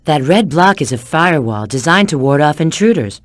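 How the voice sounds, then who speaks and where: A woman's voice: deep voice, animated, fairly quick, no room sound, clean studio sound. A woman voicing a confident, flirty female bat spy and treasure hunter in a video game, with a cool, knowing, instructive manner. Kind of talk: video game character voice acting.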